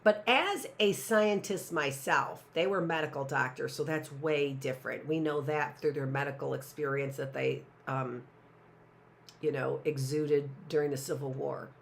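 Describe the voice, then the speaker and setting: A woman's voice: sits low, measured pace, creaky, slight background noise. A middle-aged woman teaching a live online class, rambling with sarcastic asides and talking to herself.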